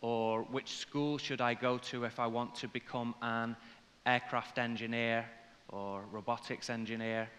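A man in his forties with an English accent speaks as a corporate presenter at a conference. His voice is bright and forceful at an average male pitch, with measured phrasing. The long reverb of a big hall surrounds it. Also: flat delivery. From Liverpool, England. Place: large indoor conference hall, on stage. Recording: reverberant hall sound from stage.

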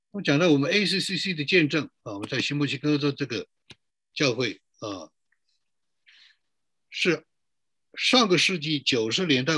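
A man's voice: medium pitch, pushed and bright, breathy edge, dry recording. A middle-aged man pastor giving a sermon to an online church, posing rhetorical questions and drawing out emphatic phrases.

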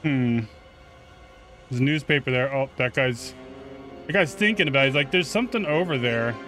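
A man's voice: medium pitch, normal speed, sharp tone. A man giving casual, slangy gameplay commentary, swearing and talking back to the game's characters. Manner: profane, slangy, talks to game characters. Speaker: gaming YouTuber. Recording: game music behind the voice. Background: video game music.

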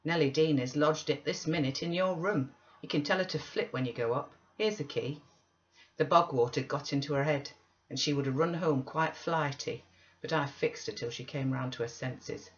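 A woman's voice: deep voice, normal speed, close to an everyday voice. A curt, dismissive master of the house read by a woman gives callous orders in a storybook reading. The audio is slightly dull. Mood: callous, commanding. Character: gruff, callous adult male master of house.

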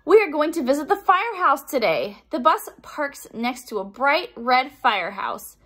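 High, very animated woman reading a storybook. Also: moderate pace. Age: young adult. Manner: animated, acts out sound effects and exclamations. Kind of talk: expressive children's storybook read-aloud with explanatory asides.

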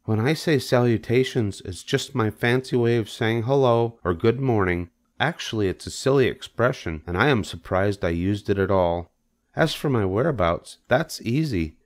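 A man's voice: fairly low pitch, moderate pace, voice pushed up, lightly acted. Guy doing a kindly little lady spider, thin raised voice, self-assured storybook character. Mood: kindly, explanatory. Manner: matter-of-fact, slightly fancy speech.

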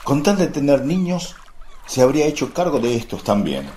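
An actor playing a gruff, warm-hearted, playful fisherman in a religious radio play, exclaiming and teasing. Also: medium pitch, very animated, normal speed, slight background noise, natural voice. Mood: good-natured grumbling.

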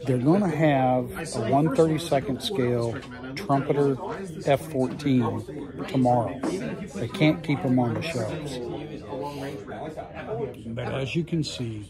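A man hosts a casual phone-shot tour in a busy shop, with a medium-pitched voice. His commentary rambles along appreciatively while plenty of chatter fills the room around him. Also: slow pace, pauses often. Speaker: host filming a shop tour. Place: inside a busy hobby shop. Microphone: handheld phone.

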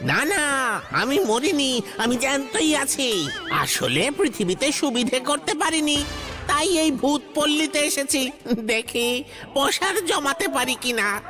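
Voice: sits high, expressive, slow. A performer voicing a scheming, argumentative male lawyer in a comedy cartoon, pleading theatrically. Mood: sly. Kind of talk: Bengali horror comedy cartoon dialogue. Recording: music under the dialogue.

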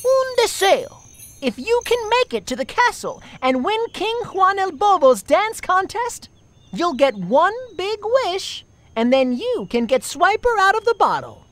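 A woman's voice: very high voice, big pitch swings, unhurried, exaggerated voice. A woman voicing a grand, enchanting wish spirit in a children's cartoon game, proclaiming to the listener.

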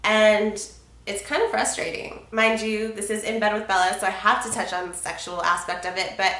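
A woman's voice: medium pitch, little pitch movement, normal speed, sharp tone, roomy sound. A woman in her twenties with a cold and a congested voice, chatting frankly to camera from her bedroom and swearing casually. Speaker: vlogger. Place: bedroom, sitting on a bed.

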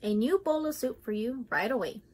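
A fussy fine-dining waiter from a children's book, voiced by a woman. He is formal, proud and eager to smooth things over. The pitch is high, with slight noise behind. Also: animated, moderate pace, a little mellow, natural voice. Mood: obliging. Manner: formal, pompous, placating.

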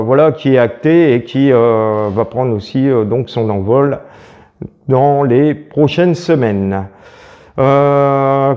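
A man's voice: mid-range voice, highs cut off. A man in his fifties presenting amateur local news, with many hesitations and fillers.

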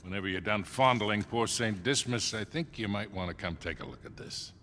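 An actor playing a seasoned, sardonic older treasure hunter with an American accent and a dry delivery. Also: male voice, fairly low pitch, a little fast, fairly bright tone, a little hiss or hum, lightly acted. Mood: sardonic, amused. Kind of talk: naturalistic video game acting.

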